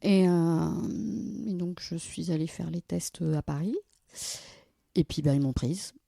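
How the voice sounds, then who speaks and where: A woman in her forties from Brussels, a lawyer telling stories as a podcast guest, lively and laughing often. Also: lowish voice, a little slow, gravelly, dry recording, clean studio sound. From Belgium, Brussels. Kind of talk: casual storytelling interview.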